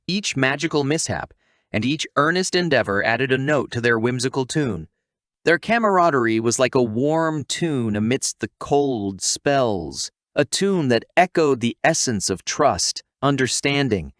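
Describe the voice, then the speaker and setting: Male narrator for recap videos: playful, theatrical storyteller, medium pitch, close dry sound. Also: expressive, unhurried, fairly bright tone, dry recording.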